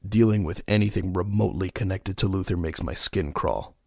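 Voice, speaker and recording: A man playing a grim masked vigilante detective in an audio drama, terse and stern, with a gravelly, husky voice. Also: low-pitched, a little fast, no room sound, studio quality. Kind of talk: audio drama narration and dialogue.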